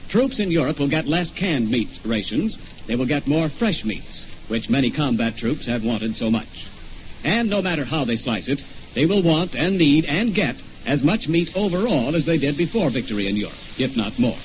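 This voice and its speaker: A man's voice: fairly high pitch, big pitch swings, normal speed. A man reading a formal scripted announcement in 1940s radio style. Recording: muffled, faintly noisy old radio broadcast.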